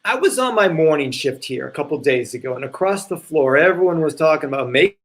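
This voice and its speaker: Man performing as preachy brother, higher male voice, earnest, deliberate, insistent, stage play reading. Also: animated, a bit bright. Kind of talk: live online play reading.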